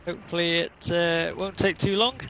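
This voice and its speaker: A man's voice: sits high, normal speed, sharp tone, slight background noise, poor audio. A man commentating casually on an online racing livestream, rambling along in an easygoing, hesitant way.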